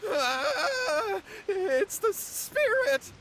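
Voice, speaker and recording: A man's voice: very high voice, sing-song, very slow, a bit bright, slight background noise, heavily acted. A man voicing a timid, remorseful young man in a video game, hesitant and earnest. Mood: terrified.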